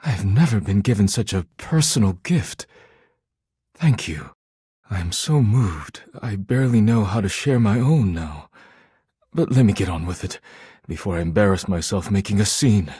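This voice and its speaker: An actor playing a solemn, formal artisan in a video game, a romantic man who speaks in measured, poetic sentences. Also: lowish voice, animated, dark tone, husky, no room sound, studio quality. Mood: moved. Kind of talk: video game character dialogue.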